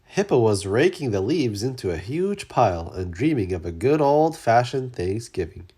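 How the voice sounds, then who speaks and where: A storyteller reading a children's story aloud with expressive, dramatic emphasis. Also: medium pitch, moderate pace, breathy edge. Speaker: storybook reader.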